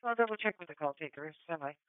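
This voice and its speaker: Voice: medium pitch, lively intonation, fast talker, smooth, no echo. An American police dispatcher relaying procedural traffic over a two-way radio in a clipped, businesslike way. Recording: thin phone-like police scanner radio.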